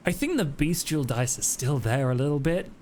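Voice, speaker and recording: A man's voice: fairly high pitch, animated, moderate pace, darkish tone, a little hiss or hum. A man narrating a tabletop roleplay game as game master in a casual style.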